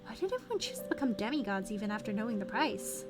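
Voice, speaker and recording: A woman voicing an earnest, pensive young hero from a fantasy game in a soft, thoughtful manner. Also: medium pitch, sing-song, fast talker, pitched below the performer's own voice, natural voice. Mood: pensive, troubled. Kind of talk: reading game dialogue aloud. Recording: game music behind the voice.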